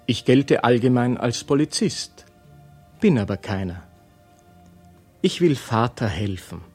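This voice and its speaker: An actor playing a plain-spoken young student who addresses the listeners in a radio play. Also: male voice, mid-range voice, sing-song, measured pace, darkish tone, faint music behind. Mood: matter-of-fact. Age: young adult. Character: young student son introducing himself, plain-spoken, matter-of-fact.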